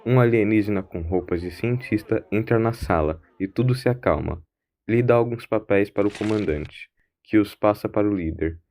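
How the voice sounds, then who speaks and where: A man's voice: lowish voice, brisk, a little mellow. A man narrating a scripted science-fiction audio drama as its storyteller.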